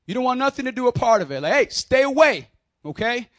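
Man preacher, high voice, casual and emphatic, close dry sound, calls for repeat-after-me. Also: very animated, fast talker, dry recording. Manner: casual, emphatic preaching with slang and call-and-response. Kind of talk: sermon. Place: conference hall.

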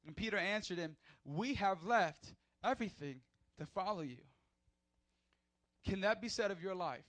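A man preaching a sermon as pastor in a church, animated, acting out short skits in other voices. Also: high voice, moderate pace, no room sound.

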